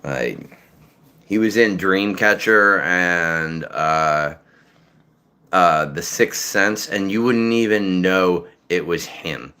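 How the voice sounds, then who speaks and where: A man's voice: deep voice, takes it slowly, pushed and bright, smooth. A man from Massachusetts, a voice actor, chatting casually and rambling with lots of fillers and swearing. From Massachusetts, North Shore. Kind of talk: casual livestream chat.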